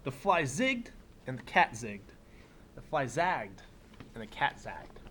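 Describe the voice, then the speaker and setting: A man teaching young children, reading a picture book aloud expressively and pausing for simple explanatory asides. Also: mid-range voice, very animated, pushed and bright, slight background noise. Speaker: elementary school teacher.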